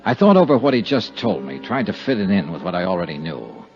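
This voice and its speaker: A tough male investigator, American, low animated voice, clipped hard-boiled delivery, dull 1950s radio drama recording. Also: fast, soft background music. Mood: brooding, measured. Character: tough, wry, unflappable male insurance investigator. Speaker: lead actor and narrator of a radio serial.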